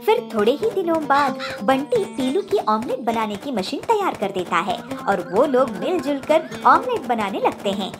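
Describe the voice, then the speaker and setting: Female narrator, scripted kids' moral tale, animated intonation, music bed underneath. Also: high-pitched, normal speed, few pauses. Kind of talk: scripted children's story narration.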